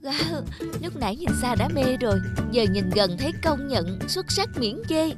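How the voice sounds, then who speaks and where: Gushing, high-voiced young designer. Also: female voice, expressive, normal speed, loud music behind the voice. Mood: admiring. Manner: gushing, polite. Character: starry-eyed, eager young female fashion designer. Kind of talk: cartoon dubbing.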